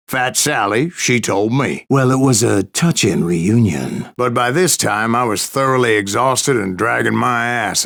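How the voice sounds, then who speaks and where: Crusty old cowboy gunslinger, real gravelly, western drawl, salty wry video game storyteller. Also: male voice, medium pitch, a bit bright. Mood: wry storytelling. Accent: American Western. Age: older. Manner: folksy, wry, salty-tongued raconteur. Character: grizzled old gunslinger spinning tall tales of his exploits.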